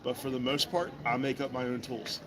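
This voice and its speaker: A man talks about woodworking at a vendor table, folksy and friendly, with an everyday middle pitch. Loud crowd chatter from a busy indoor mall fills the background around his voice. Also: little pitch movement, brisk. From Virginia. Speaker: woodworker demonstrating his craft to customers. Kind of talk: casual demonstration chat.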